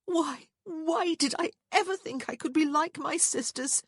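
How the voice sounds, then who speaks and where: An anxious young English gentlewoman from a storybook, acted by a woman, high and very animated in pitch. She speaks with breathless urgency and a proper turn of phrase. The voice is slightly husky and closely recorded. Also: moderate pace, no echo, studio quality. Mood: despairing. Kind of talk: audiobook character dialogue.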